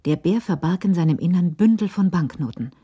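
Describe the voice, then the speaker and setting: A woman narrates a crime radio play with literary care. Her voice is very low and dark, soft at the edges, and she moves through the story in a measured way. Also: expressive, slightly rough.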